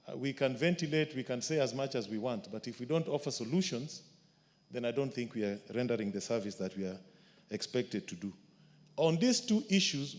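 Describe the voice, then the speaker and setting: A man, a member of parliament, speaking in a measured, formal way at a desk microphone in a parliament chamber. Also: mid-range voice, a little mellow. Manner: measured, formal, solution-focused. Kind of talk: parliamentary floor speech. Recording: long reverb of a large chamber.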